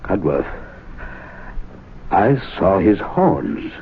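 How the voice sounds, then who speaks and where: A timid, fretful club gentleman. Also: low voice, sing-song, very slow, heavy background noise, exaggerated voice. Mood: anxious. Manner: hesitant, fretful, full of uhs. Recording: tinny 1970s radio broadcast.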